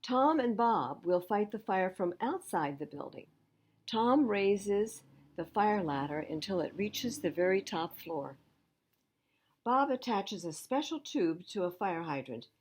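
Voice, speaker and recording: A woman's voice: mid-range voice, very animated, measured pace, husky. Woman reading aloud to children. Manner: explains things simply to young listeners. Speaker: children's library storyteller. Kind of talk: read-aloud picture book storytelling with asides to children.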